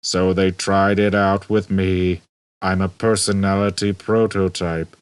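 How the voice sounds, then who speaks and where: A man acts out a hopelessly depressed robot in a storybook reading. The voice is deep and dragging, a bored drone full of gloomy sarcasm. The sound is close and dry. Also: level tone, clean tone, dry recording. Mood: weary resentment. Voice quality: low, droning. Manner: slow, gloomy, sarcastic muttering. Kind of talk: audiobook character voice.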